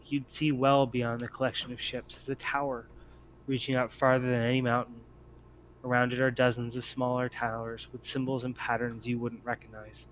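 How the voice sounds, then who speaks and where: A man reading scripted, descriptive scene-setting narration as game master of a tabletop game, in a measured storytelling way. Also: mid-range voice, fairly bright tone, lo-fi sound. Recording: noticeable background noise.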